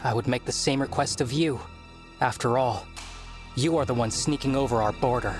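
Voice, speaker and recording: An actor playing an anxious young prince in a video game cutscene, speaking formally. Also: male voice, mid-range voice, moderate pace, soft background music, lightly acted. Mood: stern, threatening. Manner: formal, self-deprecating. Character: anxious, apologetic young prince, stern when guarded.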